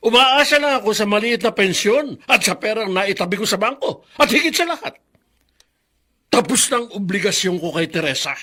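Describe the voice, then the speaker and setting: Radio drama casting: unforgiving elderly patriarch, high-pitched, rough-edged voice, harsh and scolding. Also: male voice, expressive, moderate pace, sharp tone, full character voice. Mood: bitter, cold. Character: stern, unforgiving, jobless grandfather, older angry father. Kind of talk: Filipino radio drama acting.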